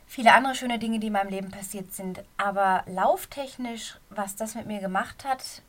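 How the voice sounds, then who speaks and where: A middle-aged woman with a slight cold and a somewhat hoarse voice, a running coach giving a casual, earnest podcast talk from a quiet corner of her bedroom. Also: mid-range voice. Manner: earnest, encouraging, talks straight to the listener.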